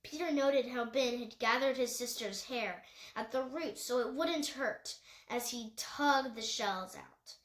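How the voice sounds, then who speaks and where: A woman's voice: on the high side, measured pace, a bit bright. A woman reading a story aloud as scripted narration, with occasional stumbles and self-corrections. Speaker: audiobook reader. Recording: echoey room.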